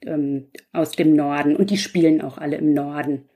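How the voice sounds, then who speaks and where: A woman's voice: low-pitched, fairly even intonation, normal speed, dark tone. Chatty woman in casual podcast conversation. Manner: chatty, loosely structured, explanatory. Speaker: guest author.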